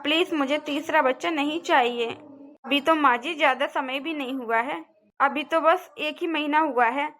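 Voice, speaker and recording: A young cartoon daughter-in-law, played by a woman, speaking in a clearly high voice. She is respectful and earnest, pleading her case with a worried air. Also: normal speed, fairly bright tone, a little hiss or hum. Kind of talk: cartoon family drama acting.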